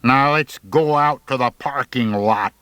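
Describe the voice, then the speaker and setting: A man's voice: medium pitch, a little slow, pushed and bright, clean tone, dry recording, voice pushed up. Man playing a huge menacing young bully. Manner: taunting, confrontational.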